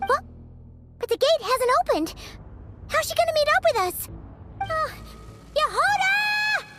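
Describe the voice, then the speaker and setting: A woman voicing a tiny, cheerful, childlike fairy companion in an anime video game, with a squeaky voice and exclaiming manner. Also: very animated, measured pace, over loud music, full character voice. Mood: worried. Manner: exclaiming, speaks of herself in third person.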